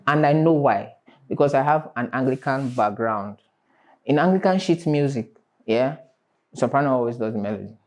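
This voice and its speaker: A man's voice: mid-range voice, expressive, moderate pace. A man teaching a vocal class, stressing and repeating his points and singing short examples. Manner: emphatic, repeats key points, sings examples. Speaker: vocal coach.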